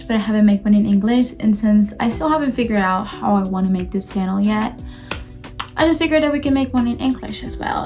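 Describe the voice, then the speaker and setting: Casting note: early-20s woman from Cuba, Spanish first language, chatty, rambling makeup vlog delivery, middle pitch, soft music behind. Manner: chatty, rambling, lots of fillers and restarts. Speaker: beauty vlogger. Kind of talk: casual get-ready-with-me chat.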